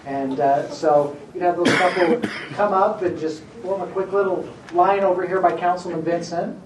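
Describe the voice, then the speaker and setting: A man speaking up a little high as he hosts a public meeting, friendly and encouraging. His voice comes from a distance in an echoey council chamber with a noticeable hum behind him. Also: steady tone, moderate pace. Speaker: meeting host. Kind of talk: informal public meeting remarks. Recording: echoey chamber audio from afar.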